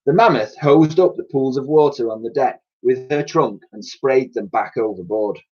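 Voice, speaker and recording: A man's voice: medium pitch, a little mellow. A man reading a children's story aloud with expression, on a dull home recording. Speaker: story reader.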